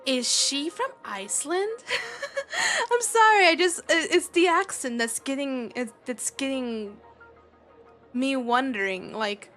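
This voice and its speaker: Giggly high-voiced woman streamer. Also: soft background music. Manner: giggly, animated, slow and drawn-out. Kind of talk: game reaction commentary.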